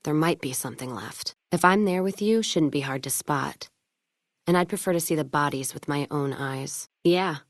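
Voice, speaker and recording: A low-pitched tough frontier caravan woman in a video game. She is blunt and wry, a hard drinker with a western turn of phrase. The sound is dry, with no room around it. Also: normal speed, no echo. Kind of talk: video game character dialogue.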